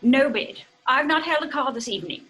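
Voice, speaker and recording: A woman's voice: high voice, animated, music in the background. A woman playing a genteel, chiding middle-aged English mother and hostess in an old-fashioned radio drama, with an English accent. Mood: genteel, chatty. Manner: prim, brisk, proper. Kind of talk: 1930s-style radio drama acting.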